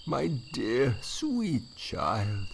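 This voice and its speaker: A man doing a croaking, sinister village vicar. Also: medium pitch, huge pitch swings, a little hiss or hum, full character voice. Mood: unctuous, pious. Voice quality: very gravelly, creaky. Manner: drawn-out, pious. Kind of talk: comedy-horror audio drama acting.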